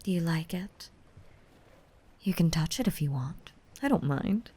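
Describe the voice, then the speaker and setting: A woman voicing a gentle, motherly young mermaid in an intimate, softly whispered ASMR roleplay, soothing and tender with pet names. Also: fairly low pitch, expressive, measured pace. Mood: tender, playful. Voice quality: soft, breathy, often whispering. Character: gentle, motherly five-hundred-year-old mermaid, still young, softly dominant.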